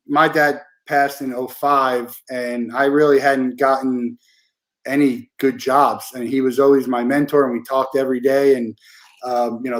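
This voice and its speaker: A man's voice: medium pitch, low-quality recording. A man hosting a podcast interview, talking in an informal, chatty way with many fillers. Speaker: podcast host. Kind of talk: casual interview conversation.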